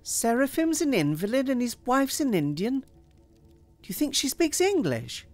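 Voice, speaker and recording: A man's voice: very high voice, wildly swooping pitch, measured pace, soft background music, voice pushed up, full character voice. A man reading aloud and voicing an anxious, indignant housewife who protests at unwelcome guests. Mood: dismayed. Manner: exasperated, protesting.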